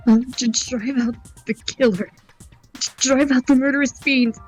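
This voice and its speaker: A woman voicing an anxious, guilt-ridden teenage girl, earnest and halting, in a dramatic video game dub. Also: high-pitched, steady tone, slightly rough, faint music behind. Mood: desperate resolve.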